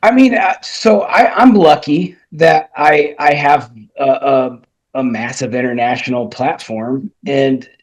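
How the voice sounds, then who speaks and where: A man's voice: a little high, measured pace. Blunt, emphatic man talking politics. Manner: emphatic, blunt opinions with frequent restarts. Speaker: guest commentator. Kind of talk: casual opinion chat.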